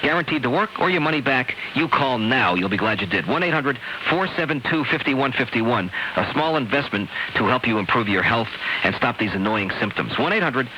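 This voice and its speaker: A man reading sponsor spots on the radio in a sales-pitch style. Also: animated, fairly quick, hardly any pauses. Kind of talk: live-read radio advertising. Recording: hissy shortwave radio cassette.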